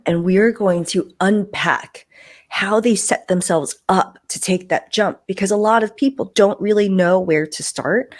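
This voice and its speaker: A woman's voice: on the low side, dry recording, clean studio sound. A woman hosting a podcast, talking in an upbeat, emphatic, conversational way. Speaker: podcast host. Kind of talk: podcast intro and interview.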